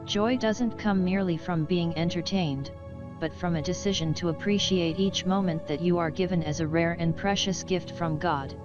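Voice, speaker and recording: A low-pitched woman reads a scripted devotional and prayer. The sound is a little dull and lo-fi, and instrumental music plays clearly behind her voice. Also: steady tone, normal speed. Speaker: devotional reader. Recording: dull lo-fi audio under music. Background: guitar music.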